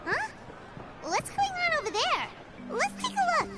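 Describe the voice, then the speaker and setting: A woman voicing a tiny, excitable fairy-like female companion in a video game, bubbly and squeaky, talking about herself by name. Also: measured pace, very noisy, heavily acted. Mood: excited. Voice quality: high, squeaky. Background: game music.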